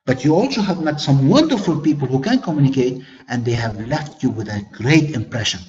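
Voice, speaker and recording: A man's voice: medium pitch, lively intonation, brisk, a little mellow, dull audio. A man whose first language is Arabic, giving a webinar lecture on leadership as a corporate trainer, earnest and fond of rhetorical questions and repeated phrases.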